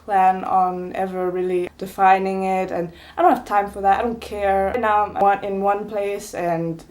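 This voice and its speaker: A woman's voice: mid-range voice, darkish tone. A young woman from Italy, a native Italian speaker, talking to camera in a casual personal vlog. She is earnest and opinionated and hedges often with "I mean" and "you know". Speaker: vlogger.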